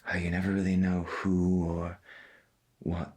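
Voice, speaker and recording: A man playing a folksy, gentle veteran pirate radio host, speaking softly and slowly with a breathy voice in an ASMR roleplay. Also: low voice, monotone. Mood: calm, intimate. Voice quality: breathy, husky. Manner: slow, deliberate, folksy, half-whispered. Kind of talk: soft-spoken ASMR roleplay as 1990s AM radio.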